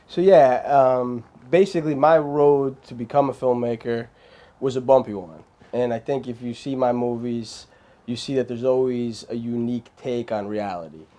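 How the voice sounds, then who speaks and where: A man, a filmmaker guest in a casual interview, speaking in an animated, rambling way with frequent restarts. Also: medium pitch, normal speed.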